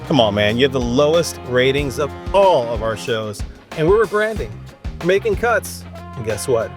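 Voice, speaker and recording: A man's voice: mid-range voice, sing-song, normal speed, close to an everyday voice. Guy acting a blunt tv exec, dismissive, mid voice, guitar music behind. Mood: curt, dismissive. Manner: blunt, curt. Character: blunt, dismissive male TV network boss.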